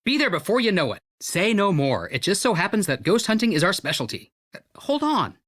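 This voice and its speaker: A woman's voice: mid-range voice, very animated, fairly quick, fairly bright tone, no echo, clean studio sound. A woman playing an eager, quirky young ghost hunter in a video game, with an enthusiastic, salesy manner.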